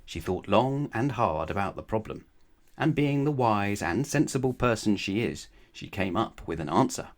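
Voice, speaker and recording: A man reading a children's storybook aloud in a measured, expressive way with emphatic phrasing. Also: lowish voice. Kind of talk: children's bedtime story narration.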